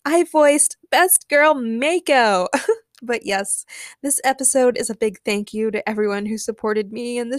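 Young woman, podcast host, higher voice, informal and giggly, dry close sound. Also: no room sound. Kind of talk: casual solo podcast chat and fan fiction narration.

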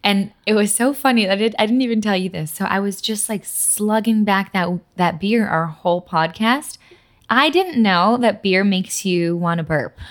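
A woman in her thirties from Massachusetts, with Polish as her first language, guesting on a podcast and telling stories in an animated, candid way. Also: medium pitch, normal speed. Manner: animated storyteller, candid and warm-hearted. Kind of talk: casual podcast chat.

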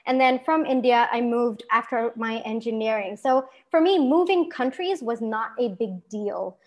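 Lively young woman, a touch high. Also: moderate pace. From Singapore. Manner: lively, laughs often, restarts sentences. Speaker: guest entrepreneur. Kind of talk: conversational podcast interview.

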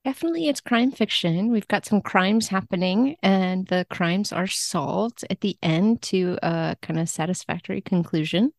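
A woman chatting casually and wryly about books as a podcast guest, quick to laugh. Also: medium pitch, creaky, no room sound, studio quality. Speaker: guest, literary translator.

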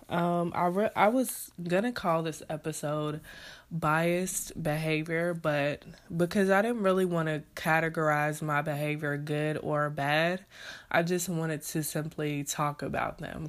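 Female podcast host, low and unhurried, congested, rambling casual delivery. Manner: rambling, many fillers and restarts. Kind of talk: casual solo podcast reflection.

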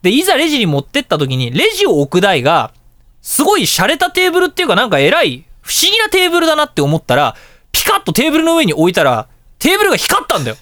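A man hosting a casual radio talk show, telling anecdotes in an animated way and acting out dialogue and reactions. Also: very high voice, fast, no room sound, studio quality.

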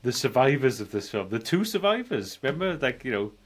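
A man's voice: mid-range voice, very animated, brisk. A man in his forties chatting casually as a film podcast co-host, rambling and often repeating himself. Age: 40s. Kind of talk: casual film review chat.

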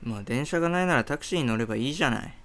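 A man's voice: mid-range voice, expressive, very fast, a bit bright. A man playing an arrogant, flashy rich playboy in a comedy radio drama, with a pompous, showy drawl. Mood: flippant.

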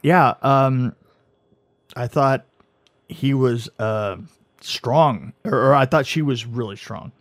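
A man's voice: medium pitch, takes it slowly, pauses often, dry recording. A man co-hosting a podcast, chatting casually with many restarts and wry jokes. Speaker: podcast co-host. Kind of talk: casual chat reviewing a TV series.

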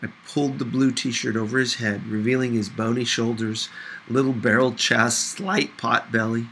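A man reading a novel aloud as expressive scripted narration. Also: medium pitch, moderate pace, slight background noise, poor audio. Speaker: audiobook reader.

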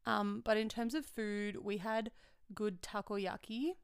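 A young Australian woman with a loud voice chats casually, leaning on "like" as she goes. Her tone is smooth and clear, with a little gravel at the ends of phrases. It sounds close and dry. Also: mid-range voice, fairly even intonation, unhurried, dry recording. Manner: chatty, fills with "like" often. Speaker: podcast co-host. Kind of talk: casual travel chat.

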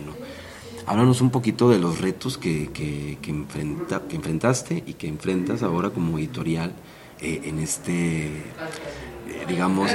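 A man hosting a podcast interview, asking questions in a hesitant way at a book fair. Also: sits low. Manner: hesitant, many fillers and restarts. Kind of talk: conversational interview. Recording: busy fair crowd behind. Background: crowd chatter.

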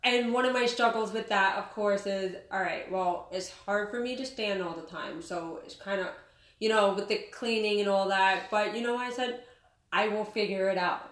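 A woman's voice: mid-range voice, normal speed, a bit bright, distant mic. Earnest woman in her thirties, roomy sound. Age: 30s. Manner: earnest, candid storytelling with small laughs. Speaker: guest, martial arts instructor. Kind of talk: interview chat.

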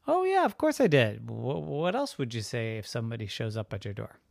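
A storyteller voicing a calm, matter-of-fact young boy who talks in a shrugging, playful way. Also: mid-range voice, a little fast, gravelly, no room sound, clean studio sound. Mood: offhand.

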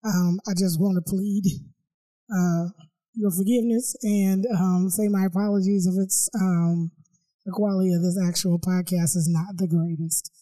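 Raspy, hoarse woman podcast host. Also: fairly low pitch, fairly even intonation, normal speed, low-quality recording. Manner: apologetic, warm, gives frequent fillers. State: hoarse after illness. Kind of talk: interview.

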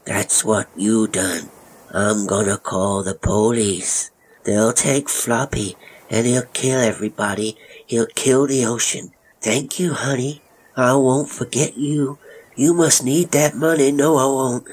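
A man's voice: medium pitch, fairly even intonation, slow, husky, pitched above the performer's own voice. A man voicing an eerie, disturbed small boy with a childlike sing-song delivery in a dramatic story reading. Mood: accusing, disturbed. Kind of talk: dramatic audiobook character acting.

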